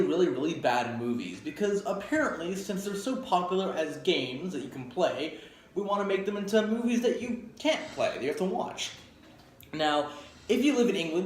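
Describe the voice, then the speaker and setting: A man hosting an informal video review to camera, speaking in a blunt, opinionated, rambling way. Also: fairly high pitch. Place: home garage, indoors. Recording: echoey room with faint background noise.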